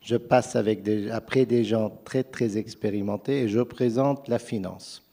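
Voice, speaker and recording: A man's voice: fairly low pitch, fairly even intonation, measured pace, dark tone. A man whose first language is not French, speaking earnestly and persuasively as a finance panelist at a conference.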